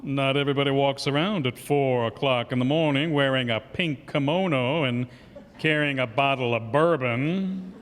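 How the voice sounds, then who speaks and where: A man playing a dry, deadpan night patrolman in an old-time radio comedy staged live in a hall with long reverb. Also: medium pitch, normal speed, natural voice.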